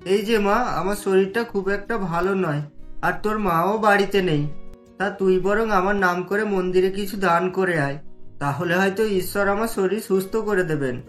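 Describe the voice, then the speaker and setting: A man plays an ailing father in a cartoon story. He speaks in a mild, patient, pleading way, devout and gentle, with music behind him. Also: sits high, normal speed. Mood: gently pleading.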